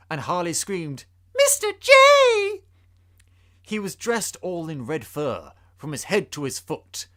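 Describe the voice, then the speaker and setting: Animated, theatrical man reading verse. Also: medium pitch. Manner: theatrical, suspenseful. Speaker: podcast host. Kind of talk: dramatic storybook reading of rhyming verse.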